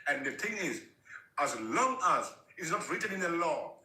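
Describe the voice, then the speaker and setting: A man's voice: fairly high pitch, very animated, moderate pace, sharp tone. A man from Africa in a heated debate, insistently repeating his phrases and talking over others. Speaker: debate panellist. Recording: echoey room sound.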